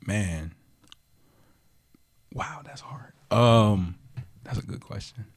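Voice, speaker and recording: A young man in his twenties, a guest musician, chatting casually on a podcast in a relaxed, slangy way full of fillers. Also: on the low side, extremely slow.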